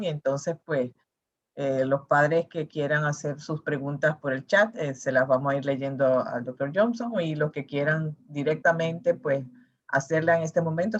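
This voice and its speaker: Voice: medium pitch, moderate pace, dull audio. A moderator of an online talk who welcomes participants and reads out questions from the chat. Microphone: video call.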